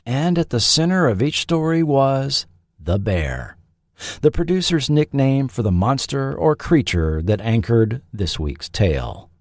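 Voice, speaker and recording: A man narrating a scripted history documentary as its host, with deliberate, dramatic phrasing. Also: medium pitch, very animated, no room sound, studio quality.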